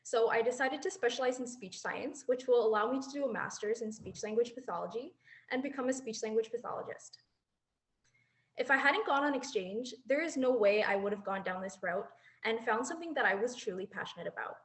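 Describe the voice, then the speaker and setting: Young woman in her 20s, fairly high voice, earnest little speech into a mic. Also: brisk. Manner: earnest, reflective, laughs lightly. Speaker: former exchange student speaker. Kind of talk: prepared speech. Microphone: handheld mic.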